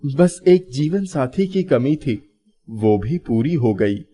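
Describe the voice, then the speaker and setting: A man's voice: medium pitch, animated, slow, warm tone, slightly rough. A man playing a humble, kind young herdsman who speaks earnestly in a story. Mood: respectful, earnest.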